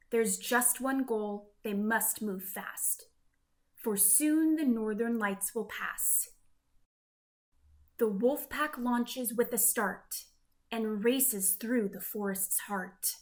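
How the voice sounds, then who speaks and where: A woman narrating a rhyming children's picture book in a measured, expressive storytelling manner. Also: fairly high pitch. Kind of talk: scripted rhyming story read-aloud for children.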